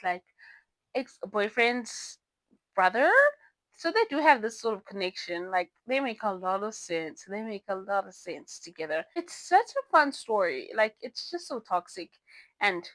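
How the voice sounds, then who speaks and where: A woman's voice: mid-range voice, a bit bright, no echo, rough recording. A young woman, not a native English speaker, hosting a casual book review vlog and rambling through her picks with self-corrections and laughs. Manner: chatty, rambling, self-correcting, giggly asides. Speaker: book reviewer, channel host.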